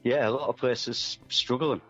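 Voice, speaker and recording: A man's voice: medium pitch, steady tone, a little slow, fairly bright tone. A man talking as a musician guest in a casual interview, earnest, reflective and a little hesitant. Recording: dull band-limited line, music underneath.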